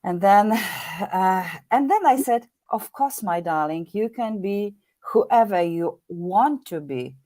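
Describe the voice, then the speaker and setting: A woman's voice: medium pitch, expressive, slow pace, mellow, no room sound. Polish-accented woman, dry close sound. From Poland. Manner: earnest, repeats words while searching for them. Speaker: guest, meditation teacher. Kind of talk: podcast interview.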